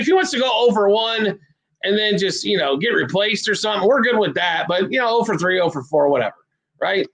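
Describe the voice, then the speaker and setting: A man's voice: sits high, a bit bright, poor audio. A man from the American Midwest hosting a sports betting show, rambling bluntly through picks with self-deprecating asides. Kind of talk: casual sports betting talk.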